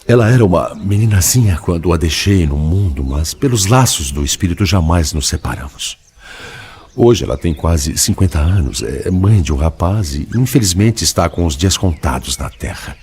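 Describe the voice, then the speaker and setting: An actor playing a sorrowful, resigned male spirit and devoted father in an audio drama, with measured, dramatic delivery. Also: deep voice, lively intonation, a little mellow. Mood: tender sadness. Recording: clearly noisy background.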